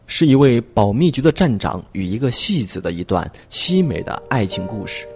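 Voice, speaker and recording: Male announcer for an audio drama: lowish, expressive scripted read over music. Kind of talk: scripted narration. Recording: music clearly behind the voice.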